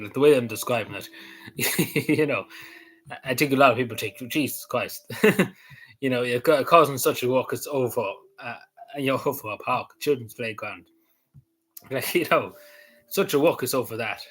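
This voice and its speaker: A man's voice: medium pitch, brisk, fairly bright tone, slightly rough. A man rambling casually on camera, hesitant, with many fillers, restarts and small chuckles. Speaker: vlogger.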